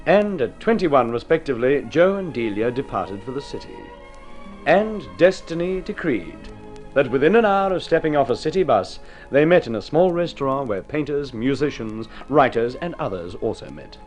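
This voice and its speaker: A man's voice: mid-range voice, very animated, normal speed, close to an everyday voice. A man narrates an old radio drama in a formal, theatrical storyteller's style, with an Australian accent. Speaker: narrator and presenter. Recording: vintage radio recording with music.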